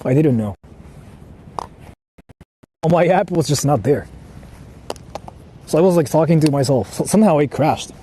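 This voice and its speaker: High-voiced man rambling outdoors. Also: expressive, unhurried, pauses often, warm tone. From Japan. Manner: rambling, informal, swearing. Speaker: livestreamer. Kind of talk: casual livestream chat, thinking aloud. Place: outdoors on a street, walking. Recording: clear outdoor street and traffic noise.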